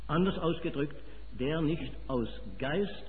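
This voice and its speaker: A man's voice: on the high side, takes it slowly. A man from Switzerland with a Swiss German accent, preaching a sermon with emphatic, rising and falling delivery. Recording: phone-like, reverberant hall.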